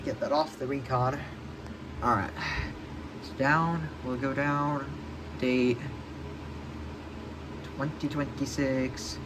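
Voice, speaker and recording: A man thinking aloud in a slow, halting way while setting up a device, with many pauses and okays. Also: medium pitch, steady tone. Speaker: hobbyist vlogger unboxing a weather radio. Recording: loud background noise.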